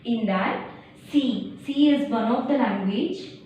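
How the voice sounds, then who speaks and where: High-voiced Indian tutor in an echoey room. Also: female voice, slow, darkish tone. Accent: Indian English, Telugu-speaking. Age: young adult. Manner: explains with everyday analogies, frequent fillers and restarts. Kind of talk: beginner programming lesson. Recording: distant, very echoey room, dull lo-fi sound, faint hiss.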